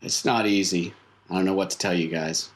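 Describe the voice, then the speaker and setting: A man playing a weary, cryptic circus clown who explains things gravely in a tabletop game. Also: sits low, steady tone, a little fast. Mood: explaining.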